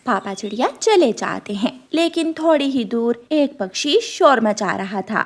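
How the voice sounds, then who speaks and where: A woman narrating a scripted children's story in an expressive storytelling style. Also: on the high side, unhurried.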